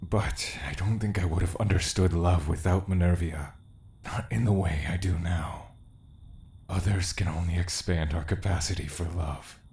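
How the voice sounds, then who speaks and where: A man voices an ancient immortal in an audio drama. The voice sits very low and gravelly, often breathy and half-whispered. He is solemn, measured and wistfully devoted, over a faint bed of noise. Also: a little mellow.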